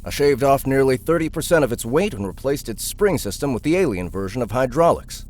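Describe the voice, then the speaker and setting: An actor playing a gruff, confident mechanic in an audiobook drama, talking in a blunt, wry, offhand way. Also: male voice, mid-range voice, expressive, a little fast, a little mellow, a little hiss or hum, lightly acted.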